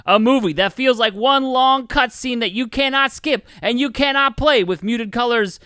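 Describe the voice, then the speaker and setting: A man's voice: very high-pitched, moderate pace, pushed and bright, smooth, dry recording, studio quality. A man hosting a movie podcast in casual chat, joking and swearing, with the odd mock-announcer flourish. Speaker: podcast host.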